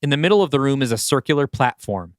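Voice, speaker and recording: A man's voice: medium pitch, expressive, fast, no echo, studio quality. A man reading scripted, screenplay-style narration for an audio play. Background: background music.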